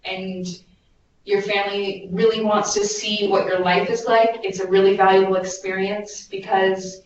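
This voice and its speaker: A woman's voice: mid-range voice, flat delivery, moderate pace. A young American woman, a volunteer, explaining everyday life abroad casually on a group call. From United States. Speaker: Peace Corps volunteer. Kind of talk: casual informational group talk. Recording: distant, echoey, dull, hissy conference call.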